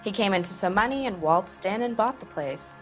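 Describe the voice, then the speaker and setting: An actress playing a world-weary nightclub worker in a 1940s noir game, wry and knowing. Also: female voice, mid-range voice, expressive, fairly quick, soft background music, natural voice. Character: world-weary woman who works at a nightclub. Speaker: nightclub witness.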